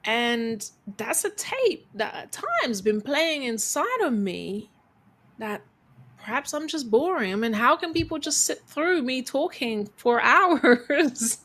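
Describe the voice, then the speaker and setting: A woman with a fairly high voice speaks as a guest on an interview show. She tells her own story earnestly and turns it into advice, repeating phrases for emphasis. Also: expressive, slow pace. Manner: calls herself quiet-voiced. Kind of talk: reflective motivational storytelling.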